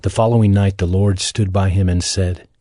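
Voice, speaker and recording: A man's voice: low-pitched, steady tone, moderate pace, warm tone, no room sound, clean studio sound. A man narrating scripture as a scripted audio Bible reading.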